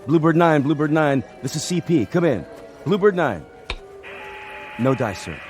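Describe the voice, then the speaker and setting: An actor playing a dutiful, hesitant army radio operator in a radio drama, deferential in manner. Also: male voice, a little high, very animated, moderate pace, a little mellow. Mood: calling urgently. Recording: clear music bed under dialogue.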